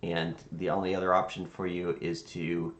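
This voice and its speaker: A man streaming as a virtual air traffic controller, chatting casually in a halting, rambling way as he thinks aloud. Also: sits low, flat delivery. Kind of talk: casual livestream chat. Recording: roomy sound with faint background noise.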